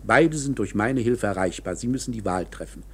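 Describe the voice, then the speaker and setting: An actor in a German radio play playing a knowing, coolly matter-of-fact court insider who explains things in a measured, insinuating, officious way. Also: male voice, fairly low pitch, animated, creaky, slightly rough, exaggerated voice. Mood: businesslike.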